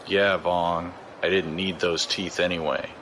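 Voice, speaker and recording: An actor playing a gruff, menacing, impatient corrupt police chief in a science fiction audio drama. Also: male voice, deep voice, level tone, measured pace, a bit bright, a little hiss or hum. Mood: irritated, impatient.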